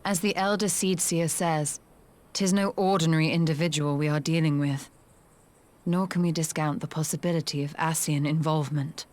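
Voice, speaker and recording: A woman's voice: low-pitched, steady tone, normal speed, a little mellow, natural voice. A woman playing an earnest, analytical youth in a video game, speaking politely and precisely. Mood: earnest, concerned. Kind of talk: scripted video game cutscene acting.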